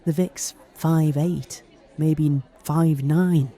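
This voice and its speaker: An actor playing a methodical senior police detective in an audio drama, clipped and authoritative. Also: male voice, a little high, fairly even intonation, drawn-out words, warm tone. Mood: deliberate. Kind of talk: naturalistic audio drama dialogue.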